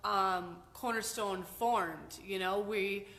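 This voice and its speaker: A woman co-host speaking casually and haltingly, with many fillers. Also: medium pitch, fairly bright tone, long reverb tail.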